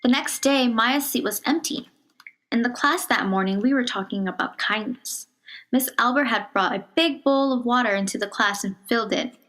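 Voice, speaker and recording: A woman with a fairly high voice reads a children's picture book aloud. Her storytelling is measured and expressive, with some phrases softened toward a hush. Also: low-quality recording. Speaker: storybook reader.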